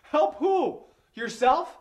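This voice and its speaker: A man voicing a terrified, desperate man who shouts and pleads at an intruder. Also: expressive, measured pace. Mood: panicked pleading. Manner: shouting, voice cracking high.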